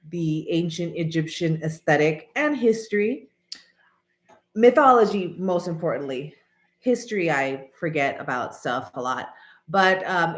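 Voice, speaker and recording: A woman in her late thirties, stuffy and nasal from allergies, chatting casually and rambling to her viewers while often clearing her throat. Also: medium pitch, reverberant. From American. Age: 30s. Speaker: channel host. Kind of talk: casual vlog chat.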